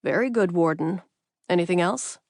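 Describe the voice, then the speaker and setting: A woman voicing a gruff older male castle retainer, deferential and wary, in a fantasy audiobook. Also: on the low side, normal speed, darkish tone, no echo, clean studio sound, lightly acted. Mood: formal, wary.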